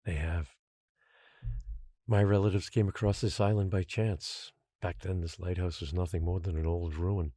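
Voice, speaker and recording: An actor playing a patient, controlling guardian who secretly rules an island, speaking in a measured, quietly menacing way in an audio drama. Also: male voice, deep voice, fairly even intonation, no echo, studio quality. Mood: solemn, revealing.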